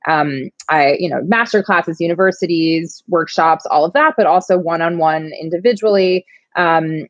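A woman's voice: deep voice, moderate pace, no room sound, dull audio. A woman from New York, an acting coach, chatting casually as a guest with lots of fillers. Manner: relaxed, many fillers and restarts. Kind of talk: casual interview chat.

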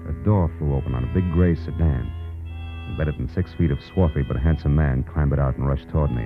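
A hard-boiled gumshoe from 1940s radio drama with a very low, brusque voice. He talks in slangy, sardonic jabs. The recording is muffled and old, with music in the mix. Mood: wry narration. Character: tough, wisecracking hard-boiled male private detective.

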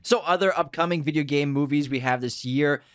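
A man's voice: on the high side, brisk, a bit bright, clean tone, no room sound, studio quality. A man co-hosting a podcast, casually running through upcoming video game adaptations and correcting himself with good humor. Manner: lists upcoming projects, self-correcting, playful. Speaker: podcast co-host. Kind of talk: casual movie news chat.

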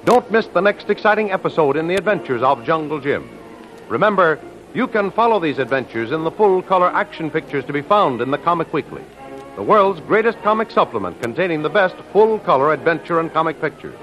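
A man's voice: a little high, very animated, brisk, pauses often. A man announcing in a 1930s radio style, reading scripted narration with a dramatic promotional delivery. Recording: muffled old radio broadcast. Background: faint music.

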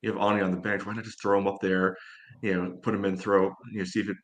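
Lower-pitched American man discussing sports. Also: flat delivery, fast. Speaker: soccer podcast co-host.

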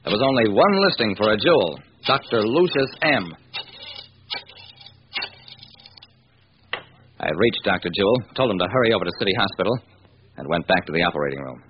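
Driven police detective narrator, fast and wry, vintage radio crime serial with heavy hiss. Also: male voice, on the low side, big pitch swings. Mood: tense, matter-of-fact. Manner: hard-boiled, wry. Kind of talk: old-time radio crime drama narration. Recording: dull, noisy vintage radio recording.

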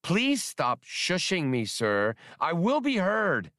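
Squeaky, prim little alien bureaucrat. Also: male voice, wildly swooping pitch, slow, fairly bright tone, no echo, clean studio sound, exaggerated voice. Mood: officious, insistent. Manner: prim, officious, insistent. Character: small furry beaked alien debt collector, fussy and stubborn.

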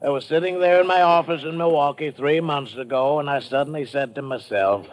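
An actor playing a boisterous, naive American tourist in an old-time radio drama, effusive and folksy, often repeating himself. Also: male voice, a little high, a little fast, lightly acted. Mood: chummy.

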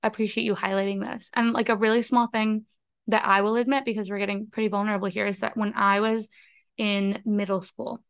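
Smooth-voiced young woman hosting a podcast. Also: mid-range voice, level tone, no room sound, clean studio sound. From United States. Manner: chatty, candid, quick asides. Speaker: podcast host. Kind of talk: casual podcast conversation.

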